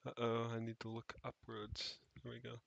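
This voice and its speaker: A man's voice: mid-range voice, monotone, moderate pace, gravelly, a bit bright, no echo, lo-fi sound. A man chatting off the cuff on a livestream, rambling and thinking aloud with casual swearing as he tinkers. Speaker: streamer, tech hobbyist. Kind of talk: casual unscripted livestream chat while tinkering. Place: indoors, rented home.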